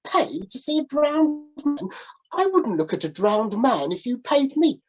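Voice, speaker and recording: A woman voicing a stiff, proper gentleman in his late fifties who is easily shocked. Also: high-pitched, sing-song, a little slow, voice pushed up. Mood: indignant.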